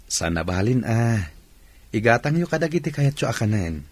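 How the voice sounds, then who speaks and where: A man's voice: mid-range voice, very animated, normal speed, no echo. A man acting a generous, dutiful provider in an Ilocano radio drama, with a Filipino Ilocano accent. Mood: generous, instructing.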